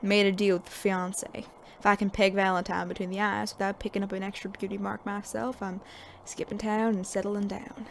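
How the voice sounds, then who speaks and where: A woman's voice: medium pitch, flat delivery, fairly quick, creaky, slight background noise, natural voice. A woman playing a weary, sardonic veteran male sheriff in a Western audio drama, with an American accent and a dry folksy drawl. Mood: wry, resigned.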